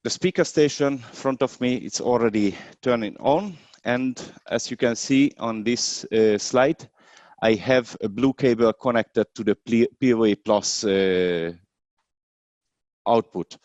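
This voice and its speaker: A man who is a non-native English speaker demonstrates a product hands-on in a webinar, explaining step by step in a halting way. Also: medium pitch, a little mellow, no room sound. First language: not English. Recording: dull, dry webinar video sound.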